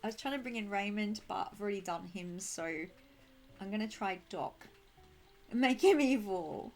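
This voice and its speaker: A woman with a slightly croaky throat chatting casually and bubbly to viewers while live streaming a game, affectionate and quick to giggle. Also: medium pitch, darkish tone. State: croaky throat, needs clearing. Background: music.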